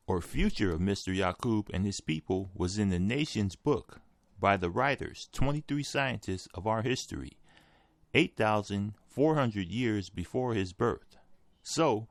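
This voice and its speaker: A man's voice: fairly low pitch, gravelly, dry recording. A man reading a written article aloud as scripted narration. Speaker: article reader.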